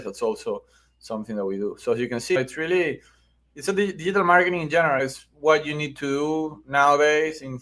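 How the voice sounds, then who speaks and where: A man's voice: mid-range voice, fairly bright tone. A middle-aged man with a Venezuelan accent, explaining things conversationally as an interview guest and often checking in with "right?". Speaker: podcast guest, marketing agency founder.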